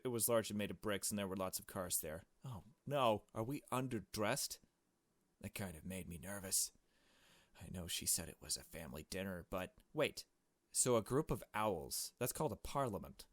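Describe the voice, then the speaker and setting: A man's voice: on the low side, dry recording. A man reading a visual novel aloud as a let's play host, chummy and joking, with casual asides and swearing. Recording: music behind the voice. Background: game music.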